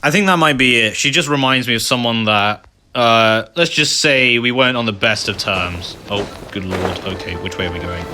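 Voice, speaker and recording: Male gamer, mid-pitched, casual and rambling, game music behind. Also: normal speed, bright, forceful tone. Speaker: gamer recording a playthrough.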